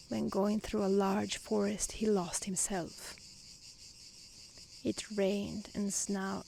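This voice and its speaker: A woman reads a storybook very gently in a soft-spoken ASMR style. Her delivery is slow and hushed, often near a whisper, with plenty of vocal fry. Faint cricket and insect sounds sit behind her voice. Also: mid-range voice, a little hiss or hum, low-quality recording. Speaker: storyteller reading fairy tales aloud. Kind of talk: soft-spoken ASMR fairy-tale reading. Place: outdoors in nature.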